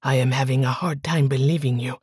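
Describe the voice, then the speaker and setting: Menacing suspicious man, read by a woman: low, slow, hushed, husky. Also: fairly even intonation, no echo, clean studio sound, full character voice. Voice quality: low, quiet, sometimes whispered.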